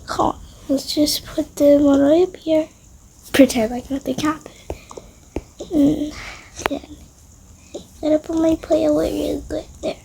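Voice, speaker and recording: A young-sounding storyteller improvising a pretend-play roleplay with several characters, in halting phrases. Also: high-pitched, gravelly, mellow, a little hiss or hum. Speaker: storyteller acting out toy roleplay.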